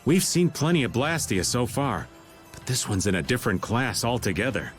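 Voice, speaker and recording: A man playing a teasing, lively-voiced roguish flirt. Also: medium pitch, normal speed, soft background music, lightly acted. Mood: musing. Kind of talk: English video game voice acting.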